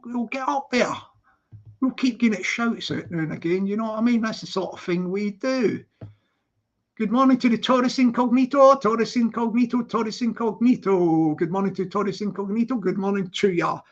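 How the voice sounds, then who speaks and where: A man's voice: high voice, lo-fi sound. Chatty Scottish man on a livestream. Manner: chatty, repetitive sing-song greetings, laughs often. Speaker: livestream host. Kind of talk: casual live chat, greeting viewers. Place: living in London.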